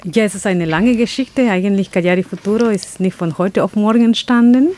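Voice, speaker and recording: A woman's voice: mid-range voice, a little fast, warm tone. A woman from Ecuador with an Ecuadorian Spanish accent and Quechua as her first language, answering interview questions as she searches for words and often restarts her sentences. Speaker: guest, chocolate business founder.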